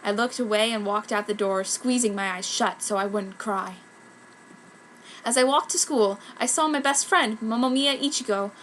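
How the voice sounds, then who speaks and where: Woman doing sad dramatic goth schoolgirl telling her story, highish voice, faint noise behind. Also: moderate pace, fairly bright tone, lightly acted, low-quality recording. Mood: sad, self-pitying. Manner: melodramatic. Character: self-pitying, dramatic outcast goth schoolgirl. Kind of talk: mock-earnest first-person fanfic narration.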